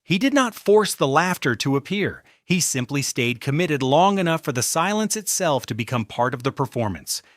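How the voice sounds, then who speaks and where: High-pitched, animated male narrator, dry recording. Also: moderate pace, hardly any pauses, no room sound. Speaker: narrator of a celebrity compilation video. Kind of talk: scripted narration.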